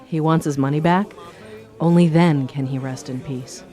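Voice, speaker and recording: An actor playing a small-town bartender in a radio play, recounting a local legend in a measured, ominous manner. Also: medium pitch, fairly even intonation, dark tone, soft background music, natural voice. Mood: solemn. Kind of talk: dramatic storytelling in a radio play.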